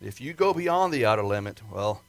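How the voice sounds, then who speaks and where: A man's voice: on the low side, sing-song, a little fast. A man playing a careful, insistent rocket project chief giving instructions in a retro sci-fi radio play. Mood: stern, cautioning. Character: gruff, careful male rocket project chief briefing his pilot.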